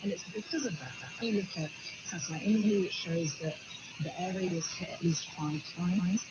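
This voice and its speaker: Muffled higher-voiced man on noisy shortwave. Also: a little fast. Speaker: journalist explaining evidence. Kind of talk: news interview analysis. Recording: dull shortwave radio with loud noise.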